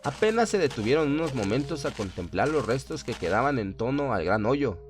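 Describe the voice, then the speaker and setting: A man's voice: medium pitch, big pitch swings, a little fast. A man reading scripted horror narration in a dramatic storytelling style.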